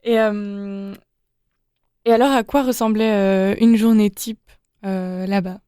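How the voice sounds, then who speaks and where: Mellow woman asking questions, dry close sound. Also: mid-range voice, fairly even intonation, clean tone, no echo. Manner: slow, hesitant questioning with fillers. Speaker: interviewer. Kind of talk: interview questions.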